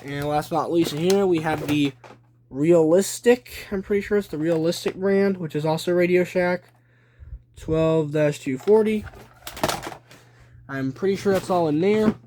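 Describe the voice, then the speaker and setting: A young adult man giving a casual show-and-tell narration on a phone at home, listing items deliberately in short phrases. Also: a little high. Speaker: hobby collector presenting a video. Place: small room at home. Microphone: handheld phone behind the camera. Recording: noisy handheld home video with clicking.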